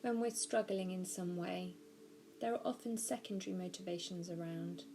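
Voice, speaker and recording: A woman narrating a guided body scan meditation, giving gentle, measured instructions slowly with pauses. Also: on the low side, a little hiss or hum. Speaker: mindfulness practitioner guiding a meditation.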